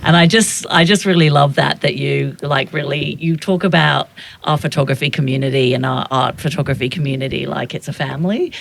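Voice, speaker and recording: A man's voice: medium pitch, a little fast, vocal fry, a bit bright. A man, a photographer and teacher, talking thoughtfully in an interview and searching for his words. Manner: thoughtful, searching, with frequent restarts. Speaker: photographer and educator. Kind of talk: reflective interview conversation.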